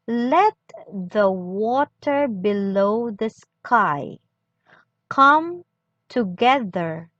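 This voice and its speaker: A woman reads scripture aloud in a solemn, deliberate style, in short phrases with pauses between them, on a dull recording. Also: on the high side, dry recording. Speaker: scripture reader. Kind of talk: slow, solemn scripture reading.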